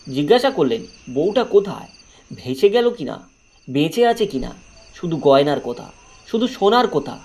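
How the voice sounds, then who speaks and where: A man's voice: on the high side, a little mellow. A man narrating a scripted horror story in a dramatic, storytelling way.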